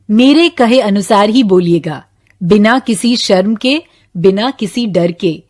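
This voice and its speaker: A woman giving a scripted English lesson to Hindi-speaking children, with deliberate, spaced-out phrases meant for repeating. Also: mid-range voice, dry recording, clean studio sound.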